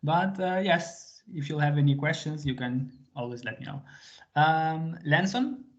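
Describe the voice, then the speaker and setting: A man's voice: a little high, expressive, unhurried. A man teaching a live online language lesson to a small group, patient and full of small fillers as he switches between English and Albanian. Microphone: video call. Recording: slightly muffled video call audio.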